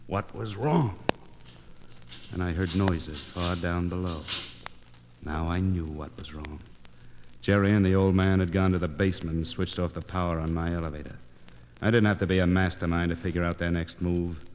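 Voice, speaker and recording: An actor playing a grim, wry newspaper reporter in 1940s radio noir style, with terse, clipped, dramatic phrasing. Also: male voice, deep voice, fairly even intonation, normal speed, a little mellow, lightly acted. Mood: urgent, tense. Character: hard-boiled male newspaper reporter, grim, wry and determined. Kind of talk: 1940s radio noir acting, first-person narration. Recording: crackly, noisy 1940s radio transcription disc.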